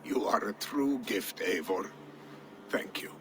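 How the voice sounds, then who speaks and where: A loyal, dutiful steward speaks in a low, earnest voice with a dry edge. Game ambience is clearly audible behind him. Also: male voice, expressive, slow, noisy background. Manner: earnest, dutiful, dryly wry.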